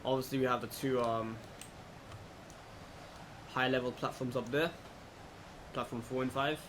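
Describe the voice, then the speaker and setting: A man giving casual, low-key let's play commentary, thinking aloud as he goes. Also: unhurried. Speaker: gaming video host. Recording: clear background noise, steady hum.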